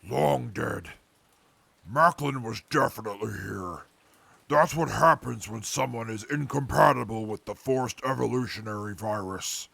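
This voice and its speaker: Sardonic wasteland survivor, male actor, audio drama: extremely gravelly and husky, slow, curt, callous, short fuse. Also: mid-range voice, fairly bright tone, dry recording, exaggerated voice. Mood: grim, callous. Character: gruff, cold, sardonic, short-tempered male wasteland traveller. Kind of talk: post-apocalyptic audio drama acting.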